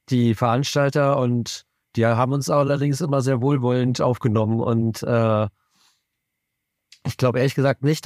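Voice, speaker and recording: A man, a musician guest in an interview, answering in a relaxed, matter-of-fact way. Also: medium pitch, dry recording, studio quality. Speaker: band member, musician guest.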